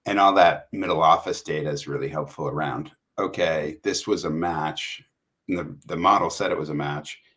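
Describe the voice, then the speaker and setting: A man's voice: very deep voice, moderate pace, fairly bright tone. A man speaking casually as a company executive in a business interview.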